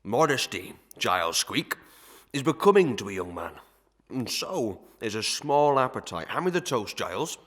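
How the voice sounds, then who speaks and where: An actor playing a pompous, sly, gluttonous parish clerk who sermonises in archaic proverbs and Latin tags in a melodrama. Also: male voice, mid-range voice, big pitch swings, moderate pace, fairly bright tone, soft background music. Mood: pompous, lecturing. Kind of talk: Victorian melodrama audio acting.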